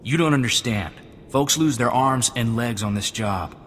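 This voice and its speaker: An actor playing an eager but cautious young gunslinger sidekick in a video game, folksy and plain-spoken. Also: male voice, mid-range voice, normal speed, fairly bright tone, long reverb tail, a little hiss or hum, lightly acted. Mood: worried.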